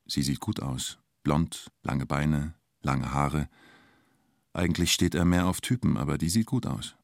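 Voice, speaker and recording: An actor playing a narrator in a radio play, telling events in clipped, colloquial present-tense phrases. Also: male voice, extremely low pitch, unhurried, creaky, dry recording, studio quality, full character voice. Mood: terse. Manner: terse, colloquial, short phrases.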